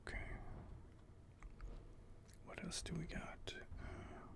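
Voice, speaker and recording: A man whispering casual gaming ASMR commentary while he reads out game options and muses over them. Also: slow pace. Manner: soft whispering, casual and musing.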